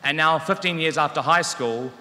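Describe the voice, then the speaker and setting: A man's voice: fairly high pitch, moderate pace, bright, forceful tone. A man in his thirties with a New Zealand accent, a teacher giving a wry, earnest graduation speech at a podium microphone in a large hall. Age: 30s. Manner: wry, self-deprecating, earnest. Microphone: podium microphone on stand. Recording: long hall reverb.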